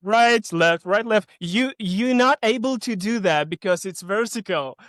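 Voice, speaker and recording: Male guest, upbeat and stumbling, smooth voice a bit high. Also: unhurried, no echo, clean studio sound. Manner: animated, stumbling, asks fellow guests questions. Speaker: actor and interview guest. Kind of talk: casual interview chat.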